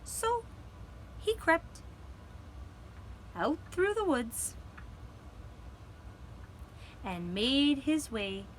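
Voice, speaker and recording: A woman telling a gentle children's story for a puppet show, deliberately, with dramatic pauses. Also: high-pitched, big pitch swings, a little hiss or hum, rough recording.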